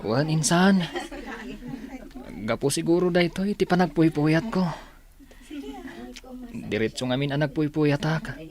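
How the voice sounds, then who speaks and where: A man's voice: a little high, expressive, measured pace, long pauses, a crowd in the background. A man playing a meek, tired young student with an Ilocano accent in a radio comedy drama. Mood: weary, ailing.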